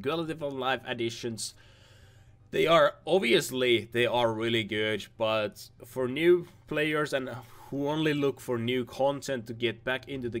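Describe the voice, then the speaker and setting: A young man, a little hoarse from losing his voice, giving casual rambling commentary over game footage, repeating himself and restarting words. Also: mid-range voice, a bit bright. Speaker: gaming video host.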